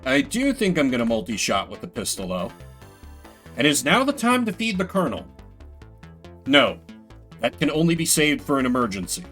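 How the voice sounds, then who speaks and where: A man's voice: fairly high pitch, wildly swooping pitch, moderate pace, long pauses, a bit bright, soft background music. A man giving casual, rambling commentary as he streams a video game, with playful mock-grandiose asides. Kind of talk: casual gameplay commentary, voicing game text.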